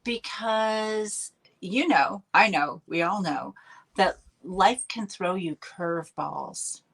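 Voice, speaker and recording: A woman's voice: mid-range voice, takes it slowly, vocal fry, a bit bright. Upbeat Canadian woman, chatty guest. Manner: chatty, upbeat, laughs often. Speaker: podcast guest, healer and mentor. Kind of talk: casual interview chat.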